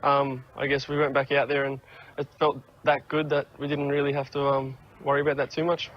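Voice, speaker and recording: A man's voice: medium pitch, little pitch movement, brisk. A man in his twenties, an athlete giving a casual interview. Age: 20s. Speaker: professional footballer. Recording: dull, noisy interview recording.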